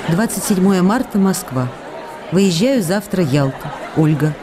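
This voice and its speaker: An actress playing an affectionate, eager woman writing to her beloved in a radio drama, intimate and gentle. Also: fairly low pitch, animated, a little slow, a crowd in the background, background noise. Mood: plain. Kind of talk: dramatised letter reading for radio.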